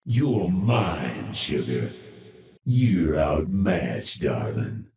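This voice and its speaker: An actor playing a smug, seductive male villain delivering slow taunts in a video game. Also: rumbling low, sing-song, gravelly, a little mellow, echoey room, full character voice.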